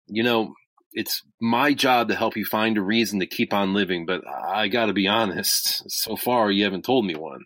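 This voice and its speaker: A man playing an earnest, caring crisis hotline volunteer in an audio drama, speaking in a measured, hesitant, sincere way. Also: on the low side, a bit bright, no echo, rough recording. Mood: calm, concerned. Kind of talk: naturalistic two-person audio drama acting.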